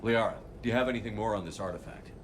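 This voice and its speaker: An actor voicing a direct, no-nonsense military commander in a video game, resolute in tone. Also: male voice, lowish voice, background noise, lightly acted. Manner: brisk, no-nonsense. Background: music.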